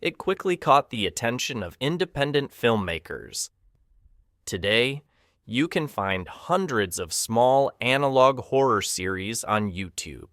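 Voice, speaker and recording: A man narrating a documentary from a script, with a measured, ominous delivery. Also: mid-range voice, animated, fairly bright tone, no room sound, studio quality.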